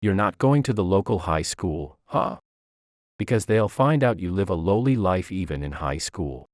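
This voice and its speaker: Guy reading as a mocking teen bully boy in a story, deep voice, dry sound. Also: lively intonation, moderate pace, a little mellow, no room sound. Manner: mocking, taunting. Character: sneering, domineering teenage boy bully.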